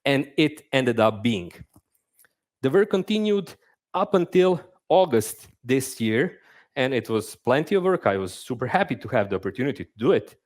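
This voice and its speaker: Young man, lively swinging pitch, casual self-mocking conference speaker. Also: moderate pace. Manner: animated, conversational, self-deprecating humor. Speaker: conference speaker, game narrative designer. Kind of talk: live conference talk. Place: stage at a conference.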